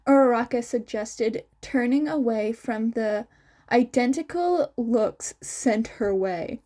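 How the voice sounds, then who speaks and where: A woman reading fiction aloud as an expressive story narrator. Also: fairly high pitch, takes it slowly. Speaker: amateur audiobook reader.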